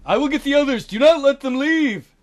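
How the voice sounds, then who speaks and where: A man voicing a villain who stammers in shock, then barks loud orders at his henchman. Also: extremely high pitch, lively intonation, brisk, pitched above the performer's own voice. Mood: commanding.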